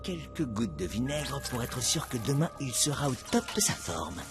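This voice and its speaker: A man voicing a level-headed, easily worried friend in a French cartoon, with an expressive manner. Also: medium pitch, moderate pace. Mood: confident. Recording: music behind the voice.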